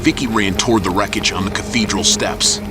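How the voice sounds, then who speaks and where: A man narrating an audio drama in a steady, measured storytelling style. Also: fairly low pitch, loud music behind the voice.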